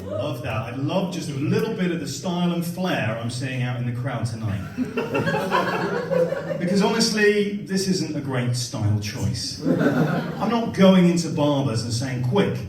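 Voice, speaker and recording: A man's voice: fairly high pitch, sing-song, unhurried. A young man from Somerset with a posh English accent, doing a dryly self-mocking stand-up set at a comedy venue. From Somerset, England. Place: comedy venue with live audience. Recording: roomy live stage sound from a distance, loud crowd laughter.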